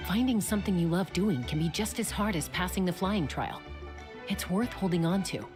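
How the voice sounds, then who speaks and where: A woman's voice: fairly low pitch, normal speed, natural voice. A woman voicing a confident young rider who steadily encourages a friend. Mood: friendly. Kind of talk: video game voice acting. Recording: game music underneath.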